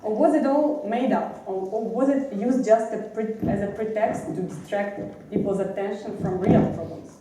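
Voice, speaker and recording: A woman's voice: medium pitch, normal speed, hardly any pauses, mellow, a little hiss or hum. A young woman from Ukraine with a Ukrainian accent, a native Russian speaker, giving a hesitant slide presentation on a debate panel, with many fillers and restarts. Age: young adult. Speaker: student panelist. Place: university lecture hall. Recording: echoey lecture hall from a distance.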